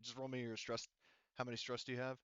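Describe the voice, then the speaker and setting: A man's voice: medium pitch, monotone, fast, fairly bright tone, smooth, no room sound, highs cut off. A man speaking informally as game master, narrating a tabletop roleplaying game casually and swearing freely.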